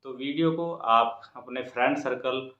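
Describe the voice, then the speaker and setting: A man's voice: medium pitch, measured pace, pushed and bright. A man giving students an informal exam update in a repetitive, encouraging manner. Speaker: exam coaching YouTuber and host. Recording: echoey room, dull muffled sound.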